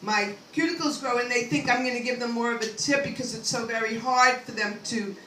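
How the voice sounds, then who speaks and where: An older woman with a high, bright voice rambles through a stand-up set, making self-deprecating asides to the crowd. The recording sounds roomy and echoey, with her voice set back from the mic and a faint hum of ambience behind her. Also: a little fast, far from the mic. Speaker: stand-up comedian. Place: comedy club stage.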